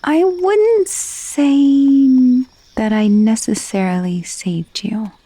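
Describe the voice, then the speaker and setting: A woman playing a gentle but possessive, wealthy vampire mistress in an intimate ASMR roleplay, speaking softly and soothingly, often dropping into a whisper. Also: sits high, extremely slow, no room sound, exaggerated voice. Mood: calm, possessive. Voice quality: soft, breathy. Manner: soothing, genteel, hushed, often slipping into whisper. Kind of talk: ASMR roleplay, intimate soft-spoken monologue. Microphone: close, dry microphone.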